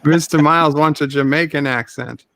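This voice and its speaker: Male streamer, playful, gravelly and bright voice. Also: on the high side, steady tone, moderate pace. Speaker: streamer host. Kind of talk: casual chat on a game stream.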